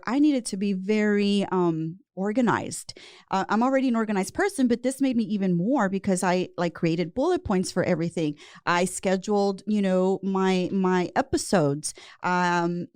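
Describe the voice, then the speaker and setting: A woman's voice: mid-range voice, moderate pace, no echo, clean studio sound. Woman podcast host, affirming and chatty. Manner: warm affirming listener, frequent mm-hmms. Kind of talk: interviewing a guest.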